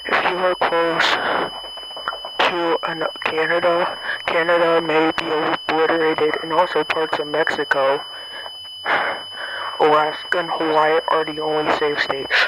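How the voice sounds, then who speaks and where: Male emergency announcer, highish pitch, completely flat and solemn, buried in loud hum and alarm-like noise. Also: measured pace.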